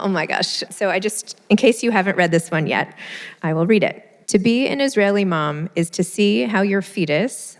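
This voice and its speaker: A woman hosting a live author panel, speaking earnestly and reading an essay aloud to the audience. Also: fairly low pitch. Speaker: host and moderator. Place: event hall with audience. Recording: hall reverb from a live event space.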